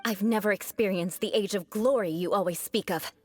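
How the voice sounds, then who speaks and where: A woman voicing a proud young noblewoman knight in a video game, composed and defiant, with formal, measured, coolly disdainful delivery. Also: mid-range voice, a little mellow, no room sound, lightly acted. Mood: firm reproach.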